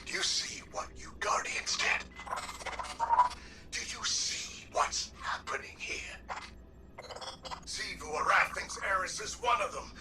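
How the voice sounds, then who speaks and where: A snide little robot henchman from a video game. His pitch leaps all over as he drawls out streetwise, resentful sarcasm, taking his time over every jab. Noisy ambience runs behind him. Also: exaggerated voice. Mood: indignant, sneering. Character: snide, resentful little robot companion serving villains, male. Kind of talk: video game character acting.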